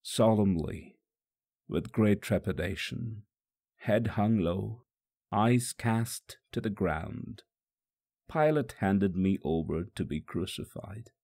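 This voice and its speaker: Man reading as a sorrowful, tender holy man facing execution, very slow, husky, grave storybook monologue, reflective. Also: on the low side, expressive, a little mellow, exaggerated voice. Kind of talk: solemn first-person dramatic monologue.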